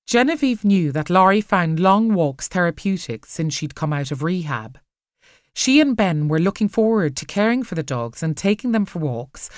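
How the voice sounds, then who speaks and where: Expressive, lowish-voiced woman narrating. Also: no echo, clean studio sound. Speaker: audiobook narrator. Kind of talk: expressive scripted story narration.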